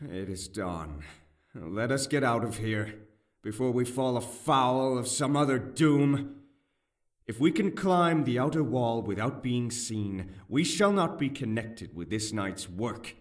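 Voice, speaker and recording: An actor playing a cautious, earnest conspirator and loyal companion in a fantasy audio drama. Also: male voice, mid-range voice, slow, lightly acted. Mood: relieved, cautious.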